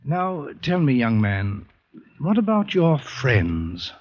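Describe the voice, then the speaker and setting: An actor playing a brisk, fatherly army doctor with a bluff, clipped manner in an old radio drama. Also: medium pitch, sing-song. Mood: firm, reassuring. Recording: dull, lo-fi old radio recording.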